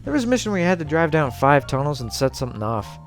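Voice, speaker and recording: Higher-pitched man over background music. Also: very animated, fast talker. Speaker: host of a video essay series. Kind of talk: casual scripted commentary.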